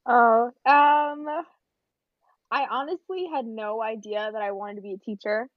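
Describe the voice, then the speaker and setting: A woman's voice: on the high side, steady tone, a little slow, dry recording. Nineteen-year-old woman, slightly dull recording. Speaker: podcast guest, college student. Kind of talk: casual podcast chat. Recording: slightly dull, dry sound.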